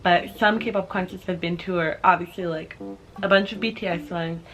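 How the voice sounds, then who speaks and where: A woman's voice: on the low side, steady tone, normal speed, poor audio. A woman in her twenties with an American accent chatting casually and informally to camera as a vlogger, in a bubbly way. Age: 20s. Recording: music playing behind the voice.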